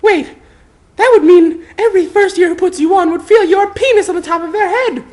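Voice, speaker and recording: A man voicing an indignant teenage witch in a shrill falsetto for a story reading. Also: brisk, a little mellow. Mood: scolding, alarmed. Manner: exclaiming, scolding.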